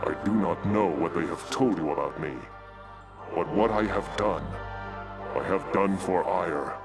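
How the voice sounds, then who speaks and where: An actor voicing a noble alien warrior commander in a video game, earnest and resolute, speaking in formal, solemn, measured phrases. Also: male voice, sits low, creaky. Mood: earnest resolve. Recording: loud music under the voice. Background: loud music.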